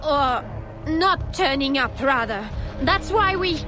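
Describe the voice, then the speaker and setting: An actress playing an aggrieved townswoman in a video game, speaking earnestly and deliberately. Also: high-pitched, lively intonation, over music. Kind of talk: video game cutscene acting.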